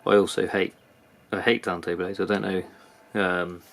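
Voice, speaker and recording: A man with a British accent giving a casual, unscripted product review in a halting manner. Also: low-pitched, little pitch movement, vocal fry. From United Kingdom. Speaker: knife reviewer. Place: indoors at a workbench.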